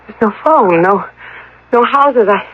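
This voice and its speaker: Stranded young woman, polite but nervy, theatrical, swooping intonation, halting breathless phrases, muffled old radio play sound. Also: on the high side, animated, a little hiss or hum. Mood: dazed, distressed. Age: young adult. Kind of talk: radio drama acting.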